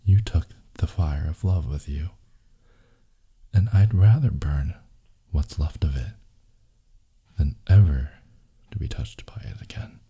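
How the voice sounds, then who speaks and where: A brooding, lovesick, possessive boyfriend, played by a man for an ASMR audio roleplay. His voice is extremely low, dark and mellow, crackling with gravel. He murmurs intimately, often dropping into a husky whisper. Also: animated, heavily acted. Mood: bitter, longing. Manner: slow, hushed, intimate murmuring.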